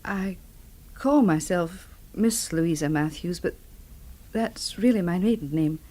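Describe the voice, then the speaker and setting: A woman's voice: fairly low pitch, slow, mellow. An actress playing a frank, good-natured, motherly middle-aged village widow in a radio drama, homely and forthright. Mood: frank, reflective.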